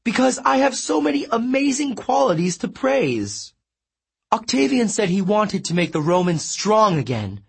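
A man's voice: high-pitched, very animated, normal speed, gravelly, slightly rough, pitched above the performer's own voice, exaggerated voice. A man voicing a vain, melodramatic male sun god who wails and pities himself. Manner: wailing, theatrical and self-pitying.

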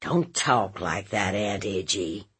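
A woman's voice: very deep voice, lively intonation, slow, husky, voice pushed down, exaggerated voice. A woman voicing a sullen, evasive seventeen-year-old boy in a story, giving short, defensive answers. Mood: sheepish.

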